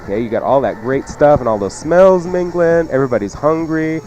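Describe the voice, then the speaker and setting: A man's voice: a little high, lively intonation, brisk, a little mellow, slight background noise, poor audio. A man hosting a cooking show with casual, joking, teasing instructions.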